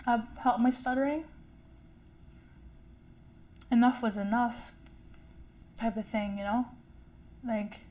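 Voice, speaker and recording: A young woman who stutters, sharing a personal story in a slow, halting way with fillers and repeated sounds. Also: fairly high pitch, darkish tone. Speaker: community member sharing a story. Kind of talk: personal testimony.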